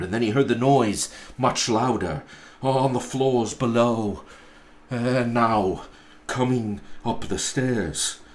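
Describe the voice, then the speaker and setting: A man narrating a storybook aloud in a deliberate, theatrical way, pausing between phrases. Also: on the low side. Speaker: pastor reading a story aloud.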